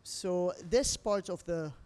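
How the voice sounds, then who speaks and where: Expressive woman telling stories. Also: on the low side, slow pace, clean tone. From Lebanon. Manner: expressive storyteller with non-native English phrasing. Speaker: restaurant owner, interview guest. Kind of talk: conversational interview over a meal. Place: restaurant.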